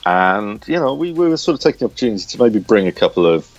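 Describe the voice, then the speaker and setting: Very deep-voiced man, hesitant interviewee. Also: sing-song, dry recording, soft background music. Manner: hesitant, halting delivery with repeated words. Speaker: interviewed comic book writer. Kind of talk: casual interview chat. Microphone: smartphone recorder. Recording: dull lo-fi phone recording.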